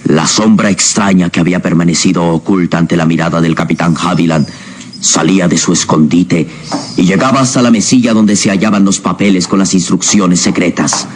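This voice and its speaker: A man narrating a scripted radio drama serial in a suspenseful, theatrical manner with deliberate phrasing. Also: low-pitched. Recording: dramatic music over the voice. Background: clear background music.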